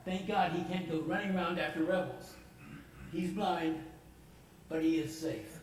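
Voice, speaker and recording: A man's voice: a little high, measured pace, a little hiss or hum, close to an everyday voice. An actor playing a grave, protective middle-aged father, speaking in a firm and weary way, in an old radio drama. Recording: echoey, distant old radio recording.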